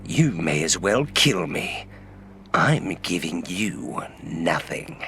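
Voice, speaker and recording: Sneering renegade henchman, fantasy audio drama, deep sharp voice, taunting and really drawn out. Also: big pitch swings, slow, exaggerated voice. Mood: mocking, defiant. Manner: taunting, chuckling, sometimes hissing whispers. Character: sneering, defiant male renegade henchman of a dark lord.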